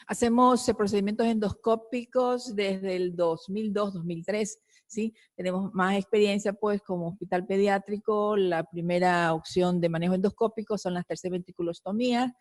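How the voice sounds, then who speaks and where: Woman from ecuador presenting a surgery case, lots of uh and tag questions, middling pitch, dry sound. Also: fairly even intonation, moderate pace, darkish tone, no echo. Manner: hesitant, many fillers and tag questions. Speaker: pediatric neurosurgeon presenting a case.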